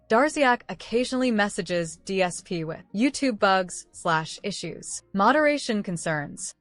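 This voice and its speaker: A woman's voice: medium pitch, no room sound. Synthetic woman's reading voice, very dry. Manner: synthetic, impersonal. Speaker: text-to-speech reader. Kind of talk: reading a text summary aloud.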